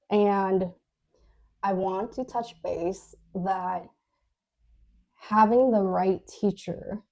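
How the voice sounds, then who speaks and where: Slow gravelly-voiced woman chatting. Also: mid-range voice, warm tone. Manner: hesitant, rambling with many fillers and restarts; laughs often while talking. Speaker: podcast co-host. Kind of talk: casual storytelling chat.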